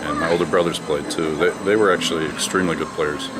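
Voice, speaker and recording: A man's voice: rumbling low, fairly even intonation, fast talker. A man in his thirties who grew up in China, a former basketball player telling stories from his career in an interview. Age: 30s. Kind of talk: interview answers, storytelling. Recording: loud music underneath.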